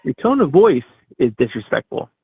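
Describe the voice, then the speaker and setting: A man's voice: medium pitch, sing-song, normal speed, creaky, slightly rough, no echo. A man calling in to a live stream, combative and interrupting, heard over a phone-like call line. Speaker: caller. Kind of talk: argumentative call-in.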